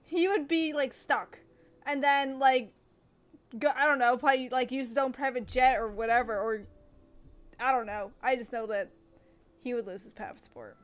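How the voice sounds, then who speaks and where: Young woman streamer, chatty, slightly high voice, reads game text. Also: fairly even intonation, moderate pace. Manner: chatty, giggly, gushing. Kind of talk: casual chat, reading game text.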